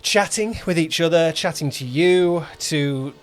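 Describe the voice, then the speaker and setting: A man's voice: on the high side, unhurried, faint music behind. A man co-hosting a podcast as a producer and director, chatting casually in a loose, hesitant, conversational way. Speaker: podcast host, audio drama producer and director. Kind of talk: casual two-person podcast chat.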